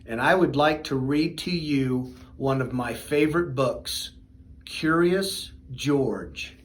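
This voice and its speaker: A man reading a children's storybook aloud in a deliberate, expressive storytelling manner. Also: mid-range voice. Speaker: university athletics director reading aloud.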